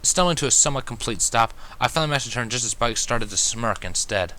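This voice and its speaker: Bright-voiced man reading fan fiction aloud. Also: medium pitch, expressive, quick pace. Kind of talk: first-person story narration.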